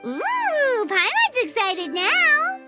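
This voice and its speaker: Tiny fairy girl, extremely high squeaky voice, wildly swooping intonation, excitable, video game cutscene. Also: very slow, exaggerated voice. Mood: excited, bossy. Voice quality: squeaky, childlike. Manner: speaks of self in third person. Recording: music behind the voice.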